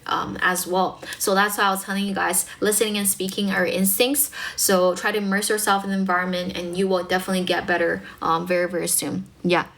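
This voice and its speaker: A woman's voice: mid-range voice, a bit bright. Young adult woman, North American accent, first language Chinese. Easygoing, encouraging livestream tutor. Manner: chatty, encouraging, filler-heavy. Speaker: English speaking tutor. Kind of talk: casual livestream Q&A.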